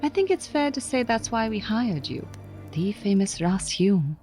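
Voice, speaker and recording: An actress playing a poised, enigmatic highborn amateur scientist, formal and courteous, in an audio drama. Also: female voice, sing-song, unhurried, dark tone, faint music behind. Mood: courteous, curious.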